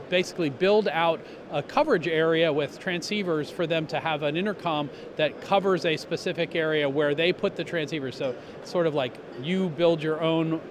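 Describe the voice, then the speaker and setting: A middle-aged man explaining a product in an upbeat way at a trade show booth, talking into a handheld mic, with lots of fillers and restarts. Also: fairly high pitch. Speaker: company representative. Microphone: handheld interviewer mic. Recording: noisy trade show hall.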